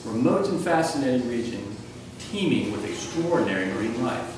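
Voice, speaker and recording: A man's voice: medium pitch, sing-song, normal speed. A man giving solemn mock-documentary narration in a dramatic tone. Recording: echoey, distant, noisy.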